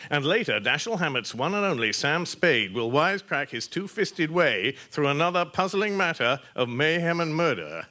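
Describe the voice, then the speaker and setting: An actor playing an old-time radio network announcer, reading scripted credits and teasers. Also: male voice, a little high, sing-song, normal speed, hardly any pauses, bright, forceful tone. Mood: announcing. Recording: noisy live stage with audience.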